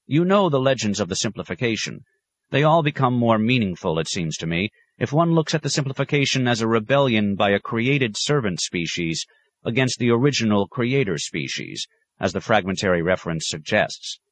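A man's voice: on the low side, very animated, brisk, voice pushed up. A man voicing a learned male scholar who argues stiffly and insistently, reading an audiobook. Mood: arguing. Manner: earnest, insistent lecturing.

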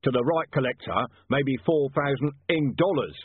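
Guy doing a blunt sweary crook who loves antiques, raspy, audiobook, tinny radio sound. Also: medium pitch, normal speed, no room sound, pitched above the performer's own voice, lightly acted. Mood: appreciative. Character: gruff cursing male thug with connoisseur's taste. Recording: muffled narrow-band, phone-like radio sound.

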